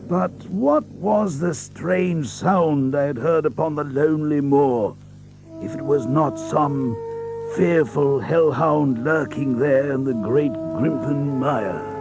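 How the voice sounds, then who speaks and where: An actor playing an earnest, thoughtful gentleman doctor, measured and formal in an audiobook drama. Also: on the high side, gravelly. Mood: pensive, uneasy. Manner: measured, literary, formal phrasing. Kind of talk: dramatized audiobook narration and radio-play acting. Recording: long reverb, music underneath.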